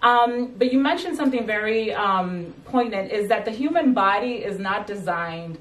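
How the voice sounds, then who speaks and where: A woman hosting an interview, speaking in a halting way with many fillers and restarts. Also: medium pitch, expressive, a bit bright, reverberant, recorded from a distance, rough recording. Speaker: host and magazine editor. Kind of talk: conversational interview.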